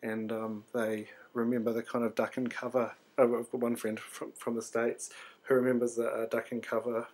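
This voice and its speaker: A man's voice: mid-range voice, completely flat delivery, normal speed, a little mellow. A man, an artist rambling through a sleepy, hesitant video diary full of ums and restarts. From New Zealand. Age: 30s.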